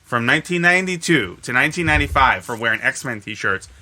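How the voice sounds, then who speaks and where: A man's voice: medium pitch, lively intonation, brisk, pushed and bright. A man co-hosting a podcast, telling stories in casual chat with friends and swearing freely. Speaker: podcast co-host.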